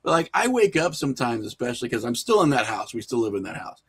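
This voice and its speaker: A middle-aged man speaks as a guest in a relaxed interview. His voice sits at an average male pitch. He talks candidly, pokes fun at himself and swears freely. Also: fast, slightly rough. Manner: candid, self-mocking, profane. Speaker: podcast guest, horror filmmaker and writer. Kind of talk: casual interview chat.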